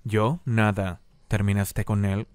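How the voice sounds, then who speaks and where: A man with a Latin American accent voicing a sly, sardonic, short-tempered schoolboy schemer in a cartoon dub, with a dry deadpan manner. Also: lowish voice, slow pace. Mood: sly, persuasive. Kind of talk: animated fan dub acting.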